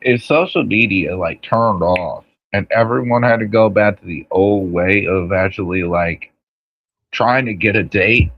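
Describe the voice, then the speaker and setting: A man's voice: on the low side, measured pace, dry recording, highs cut off. A man in his thirties on a podcast, blunt and opinionated, cursing freely. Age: 30s. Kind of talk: casual podcast chat.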